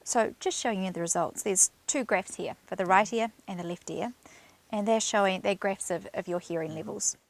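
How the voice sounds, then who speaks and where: A woman clinician speaks in a medium-pitched voice. She explains things to a client informally and reassuringly, as an audiologist in a consultation. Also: normal speed.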